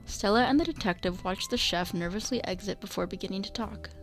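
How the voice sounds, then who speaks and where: A woman's voice: medium pitch, fairly quick, soft background music, lightly acted. A woman narrating a scripted radio mystery drama as a composed storyteller.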